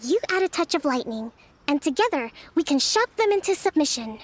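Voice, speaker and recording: A woman voicing a young priestess and strategist in a video game, speaking in a measured, polite, analytical way. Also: sits high, sing-song. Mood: calm.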